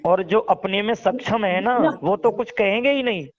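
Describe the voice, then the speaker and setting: A man's voice: high voice, moderate pace. A man talks through a dull phone recording. He is earnest and exhortatory, circling back over his points and addressing the listener as brother. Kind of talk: casual phone conversation. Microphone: phone call.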